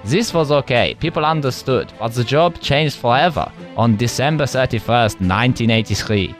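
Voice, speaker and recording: Deadpan retired official played by a man, low-key and matter-of-fact, music underneath. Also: moderate pace, natural voice. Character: male retired official recalling the past.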